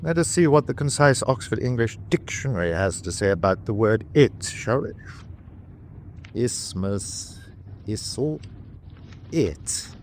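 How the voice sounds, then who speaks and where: An actor playing a commanding but torn German U-boat captain in a radio drama, with a deliberate, ironic delivery. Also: male voice, medium pitch, expressive, darkish tone, a little hiss or hum. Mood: sarcastic, accusing. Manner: biting, deliberate irony. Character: anguished, sarcastic German U-boat captain, commanding yet reluctant.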